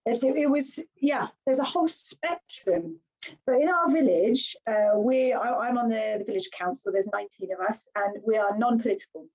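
A British woman, a village councillor, talking in an animated, earnest way as a guest in a casual podcast conversation. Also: on the high side, normal speed. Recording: slightly dull lo-fi sound.

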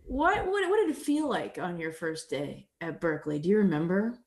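A woman's voice: lowish voice, big pitch swings, normal speed. A woman interviewing a guest musician in an easygoing, conversational way, asking thoughtful questions.

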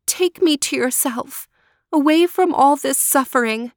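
A woman's voice: sits high, a little slow, dry recording, clean studio sound, pitched above the performer's own voice. A woman voicing a sweet, mistreated young girl who pleads politely in a storybook reading. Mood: polite pleading.

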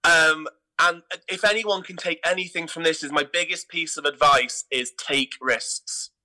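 Bright, high-voiced English man telling stories. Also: fairly even intonation, unhurried, low-quality recording. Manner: emphatic, repeats words for emphasis. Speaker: theatre company artistic director, interview guest. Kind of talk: animated anecdotal interview talk.

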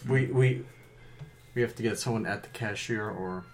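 A man playing a tabletop game, throwing in quick joking remarks. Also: lowish voice. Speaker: player. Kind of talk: casual chat at a game table.

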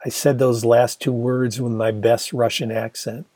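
Husky older man reading his memoir. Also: medium pitch, level tone, unhurried, dark tone, dry recording. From Michigan, USA. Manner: wry, conversational storytelling. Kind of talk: audiobook narration.

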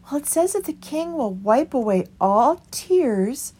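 A woman's voice: sits high, big pitch swings, a little slow, warm tone, slightly rough, voice pushed up, exaggerated voice. A woman voicing an earnest young male mouse who carries a heavy burden.

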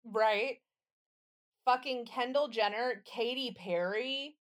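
A woman's voice: on the high side, slow pace. A woman co-hosting a casual comedy podcast, talking in a blunt, sardonic and sweary way. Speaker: podcast co-host.

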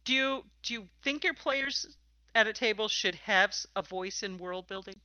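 A woman's voice: medium pitch, normal speed, bright, forceful tone, no room sound, highs cut off. A woman hosting a conversational interview about tabletop games, speaking in an emphatic, opinionated way. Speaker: podcast host and interviewer.

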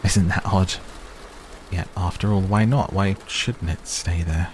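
A man's voice: sits low, steady tone, unhurried. A man voicing an old hobbit in a story reading, fussy, stubborn and testy, with a defensive manner, on a noisy recording. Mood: impatient, defensive. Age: older. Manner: stammering, impatient, defensive.

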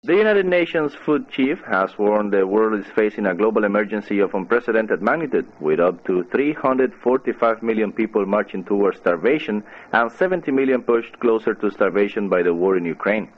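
Male newsreader, low pitch, scripted radio delivery, dull lo-fi shortwave sound. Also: animated, a little fast, hardly any pauses. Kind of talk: scripted news reading. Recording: muffled shortwave radio broadcast.

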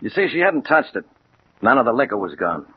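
An actor playing a plain-spoken American homicide captain, matter-of-fact and official, in a 1940s radio crime drama. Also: male voice, medium pitch, sing-song, brisk, breathy edge. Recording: muffled old radio recording with hiss.

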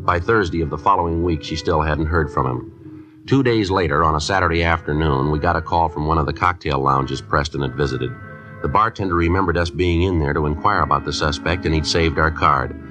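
A police detective in a 1950s radio crime drama. His voice is extremely deep, and he speaks in short, matter-of-fact sentences. Music plays prominently behind his deadpan delivery. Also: brisk. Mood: flat, matter-of-fact. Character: terse, businesslike male police detective narrating his case. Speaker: narrator and lead detective.

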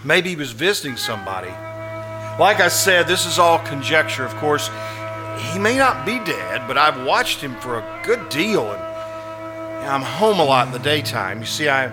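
A man plays an earnest, nosy neighbour in an old-time radio suspense play. His somewhat high voice stammers and hesitates, then presses on insistently, with music clearly audible behind it. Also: big pitch swings, moderate pace, poor audio. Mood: speculating. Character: earnest, anxious male actor, nosy neighbour. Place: live performance at a winery.

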